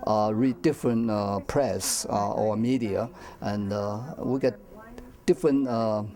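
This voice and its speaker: An older man, speaking haltingly with repeated words and grammar in an interview. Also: fairly low pitch, mellow. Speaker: senior center discussion group leader. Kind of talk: casual interview answers.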